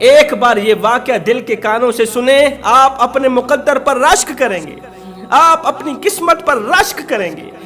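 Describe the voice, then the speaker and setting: A man's voice: extremely high pitch, animated, normal speed, bright, forceful tone, faint music behind. A man preaching an emotional Islamic storytelling sermon, impassioned and emphatic, repeating phrases for effect.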